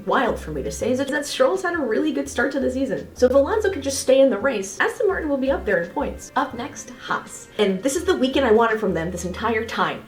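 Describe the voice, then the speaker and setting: A woman giving a casual, opinionated race review in an informal way. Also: fairly high pitch. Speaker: motorsport analysis video host. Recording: echoey room, faint music behind.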